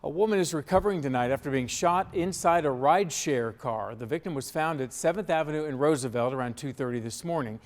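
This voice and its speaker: A man with an American accent reading scripted local news as a television anchor in a studio. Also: mid-range voice.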